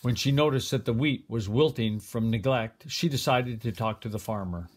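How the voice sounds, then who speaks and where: Older guy reading a kids fable, grandpa style, expressive and deliberate. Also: medium pitch, breathy edge. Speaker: grandfather reading a picture book aloud. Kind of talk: storybook read-aloud.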